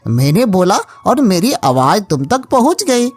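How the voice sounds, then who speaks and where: A woman's voice: medium pitch, sing-song, a little slow, darkish tone, husky. A woman voicing a friendly, loyal talking monkey in a cartoon moral story, chatty and full of exclamations. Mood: eager, delighted.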